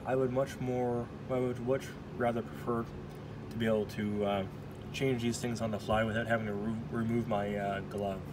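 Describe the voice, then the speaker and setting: Guy rambling about his welding helmet in a shop, stops and restarts a lot, phone mic, loud ac hum behind. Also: medium pitch, little pitch movement. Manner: rambling, halting, self-correcting, joking. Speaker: welder reviewing his helmet. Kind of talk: casual off-the-cuff product review. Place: workshop, indoors. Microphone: phone camera held in hand. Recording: noisy workshop phone recording.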